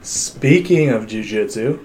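A man's voice: fairly low pitch, expressive, takes it slowly, darkish tone, a little hiss or hum. A man hosting a casual sports podcast, joking and swearing in loose banter. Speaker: podcast host.

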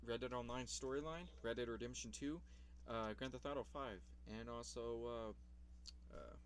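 A man's voice: mid-range voice, steady tone, normal speed, a little hiss or hum. A young man in casual vlog commentary, rambling about his old videos, swearing casually and laughing at himself.

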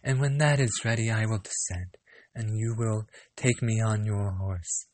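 A man voicing a gentle young maiden, reading her lines softly and a bit higher than his own low voice. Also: unhurried, dry recording, natural voice. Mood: pleading.

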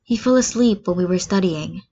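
A woman voicing a quiet, deadpan teenage boy in a story reading, in slightly muffled sound. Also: fairly low pitch, normal speed, lightly acted. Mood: quiet, puzzled. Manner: quiet, flat delivery.

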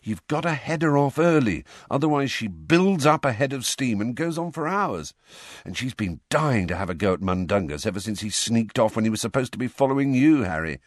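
A man's voice: medium pitch, big pitch swings, fairly quick, dry recording, studio quality, pitched above the performer's own voice. A man voicing a cheeky, brash teenage boy in an audiobook, with a breezy, mocking manner. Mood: amused.

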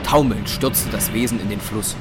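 A man's voice: on the low side, moderate pace. A man narrating a scripted dramatic audio story. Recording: very loud music and battle effects behind.

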